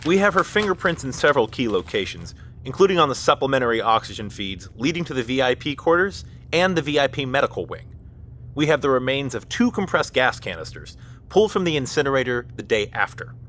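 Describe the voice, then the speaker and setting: A man's voice: medium pitch, big pitch swings, brisk, a bit bright, slight background noise. A man playing a stern, by-the-book military officer in an audio drama, speaking formally and procedurally. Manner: formal, procedural, addresses others as sir and ma'am.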